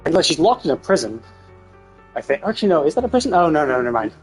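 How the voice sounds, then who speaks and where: A man doing casual live game stream commentary, rambling and thinking out loud with casual swearing. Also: a little high, very animated, fast talker. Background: faint game music.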